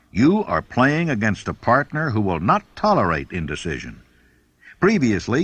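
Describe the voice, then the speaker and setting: A man narrating a self-help audiobook, giving measured, instructive readings of scripted text. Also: fairly low pitch, fairly bright tone, no room sound. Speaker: audiobook reader.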